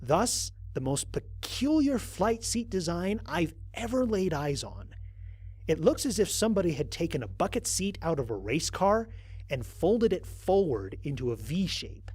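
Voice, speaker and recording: A man's voice: on the high side, very animated, measured pace, darkish tone, husky, no echo, heavily acted. A man playing a wry, analytical stranded space explorer narrating his mission log in an audio drama in a matter-of-fact way. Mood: curious, wry.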